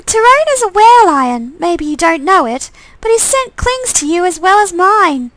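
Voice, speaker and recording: A woman's voice: very high voice, big pitch swings, measured pace, a bit bright, pitched above the performer's own voice, full character voice. A woman voicing a jealous shapeshifter love interest in a visual novel, with a probing, pointed manner.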